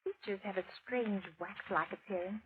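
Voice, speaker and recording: An actress playing a refined, eager young lady detective's companion in a 1930s radio drama, brisk and articulate on a thin, noisy old recording. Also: mid-range voice, phone-quality sound, natural voice. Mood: eager, uneasy.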